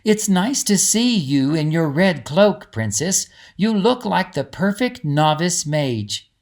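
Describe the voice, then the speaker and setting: A man voicing a kindly, encouraging woman in a storybook, with a gentle, reassuring way of explaining things. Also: on the low side, animated, takes it slowly, voice pushed up. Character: kindly, encouraging woman guiding a young princess. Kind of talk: storybook character dialogue.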